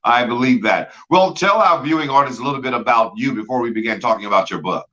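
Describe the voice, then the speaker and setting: A male host running a show with authors, praising guests warmly and often stumbling over repeated words. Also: medium pitch, fast. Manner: effusive, repeats words, fills with "uh". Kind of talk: enthusiastic author interview. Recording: dull lo-fi sound.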